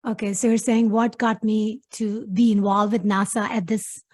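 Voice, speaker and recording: A woman's voice: medium pitch, little pitch movement, normal speed, darkish tone. A middle-aged woman from Pakistan, a guest talking earnestly in a casual interview and laughing easily.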